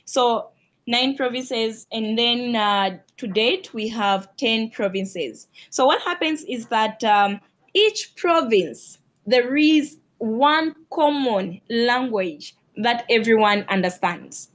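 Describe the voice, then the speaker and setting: Woman, Zambian accent, a bit high-pitched, rambling explanation, lots of fillers, video call. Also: takes it slowly, a bit bright. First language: Lozi. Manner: rambling, repeats phrases, many fillers. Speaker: guest speaker. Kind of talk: explanatory talk in an online mentorship session.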